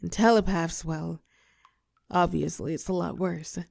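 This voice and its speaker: A fallen heroine turned telepath villain, acted by a woman, speaks close and dry in a lowish, crackling voice. She is weary and wry, tender with an edge of menace, pausing for effect as in an intimate audio drama. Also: steady tone, gravelly, a little mellow, no room sound. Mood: wry, defensive. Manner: soothing pet names, wry bitterness, dramatic pauses, drops into whispers. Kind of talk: intimate scripted audio roleplay monologue.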